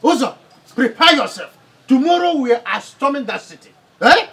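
A man's voice: extremely high pitch, animated, normal speed, gravelly, fairly bright tone, husky, full character voice. An actor playing a wrathful, blustering Nigerian father, declaiming emphatically and repeating himself in film melodrama. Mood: enraged boasting.

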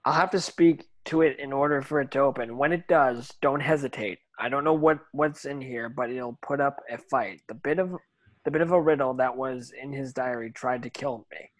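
A man's voice: mid-range voice, normal speed, natural voice, poor audio. Teenage boy hero, read by a man: mid-range voice, urgent, earnest, table-read drama. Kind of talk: amateur screenplay table read.

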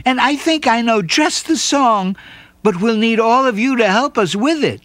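A cheerful little girl in a storybook chatters brightly in a very high voice, as a man puts her on. Her speech jumps up and down with excitement. The sound is dry and close. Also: normal speed, dry recording. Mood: eager.

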